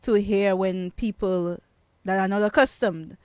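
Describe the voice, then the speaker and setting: A woman from Dominica hosting a relaxed radio interview, asking questions and encouraging her guests. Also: medium pitch, unhurried, clear, resonant tone, dry recording. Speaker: radio host. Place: radio studio.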